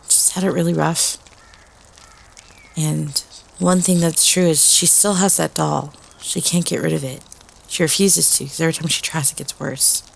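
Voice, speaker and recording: A woman acting as a student telling a ghost story at a campfire, with a husky voice and dramatic pauses. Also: low-pitched, fairly even intonation, heavily acted. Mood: somber, eerie. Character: young woman student telling a spooky doll story, playful prankster. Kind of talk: audio roleplay campfire storytelling.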